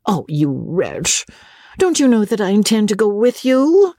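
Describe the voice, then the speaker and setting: Narrator playing a sly young wife, pitched high. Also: wildly swooping pitch, unhurried, warm tone, no room sound, studio quality, full character voice. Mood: playful.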